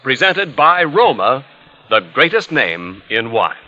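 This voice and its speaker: A man's voice: mid-range voice, sing-song, slow, sharp tone. An American man announcing scripted 1940s radio advertising in a polished, emphatic sales manner. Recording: dull, lo-fi vintage radio recording.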